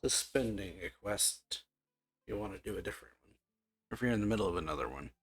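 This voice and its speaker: A man's voice: fairly low pitch, animated, fast talker, husky. A man hosting a casual reaction video, talking in a laid-back way with mumbled asides and chuckles while he plays a game. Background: game music.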